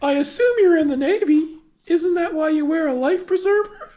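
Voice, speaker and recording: Woman putting on a very high-pitched fussy housewife. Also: moderate pace, dark tone. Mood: chatty. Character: chatty, cheerful, insistent 1950s housewife and mother of forty. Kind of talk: amateur table read of a film script.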